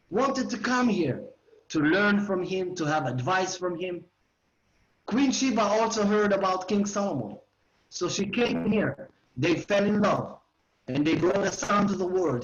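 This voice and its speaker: High-voiced Israeli man lecturing online. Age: 40s. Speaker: educational tour guide giving a lecture. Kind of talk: online lecture, oral history. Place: living room at home. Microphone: video call. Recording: roomy, slightly dull video-call audio.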